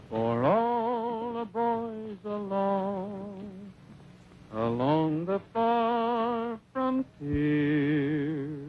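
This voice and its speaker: A woman singing an old-time western song. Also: medium pitch. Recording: muffled vintage soundtrack. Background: music.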